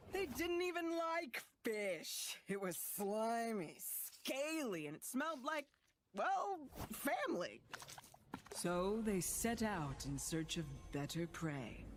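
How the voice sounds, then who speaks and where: A mysterious storyteller in a fantasy video game. The delivery is slow, hushed and wry, at a middling pitch. Noisy ambience sits clearly behind the voice. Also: female voice, sing-song. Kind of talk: scripted storybook narration.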